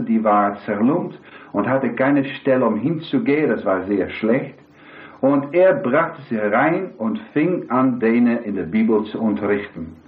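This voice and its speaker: A hoarse man preaching a sermon, rambling and putting questions to the congregation. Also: medium pitch. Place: church hall with congregation. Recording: echoey, tinny lo-fi hall recording.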